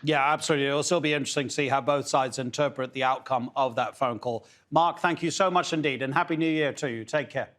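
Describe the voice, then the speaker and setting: A man's voice: medium pitch, quick pace, few pauses, fairly bright tone. A man anchoring a live news broadcast, putting questions to a guest in a conversational way.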